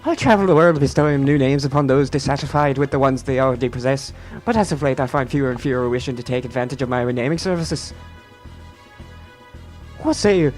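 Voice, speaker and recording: A man's voice: mid-range voice, quick pace, a little mellow, soft background music, lightly acted. A man voicing a courtly, flowery wandering renamer from a video game, in a grandiose, formal, declaiming manner. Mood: grandly courteous.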